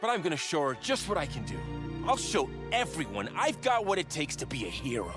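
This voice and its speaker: An actor playing a clumsy, eager young would-be warrior in an animated game, boastful but sheepish. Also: male voice, on the high side, brisk, over music. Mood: determined. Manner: breezy bravado. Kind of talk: animated game comedy acting.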